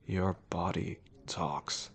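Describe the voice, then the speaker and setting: A man playing a possessive, menacing boss in a romantic audio roleplay, speaking in a controlled, formal way with a gravelly voice. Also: sits low, fairly even intonation, full character voice. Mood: quietly predatory. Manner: controlled, deliberate, formal wording. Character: possessive, menacing male boss obsessed with an employee.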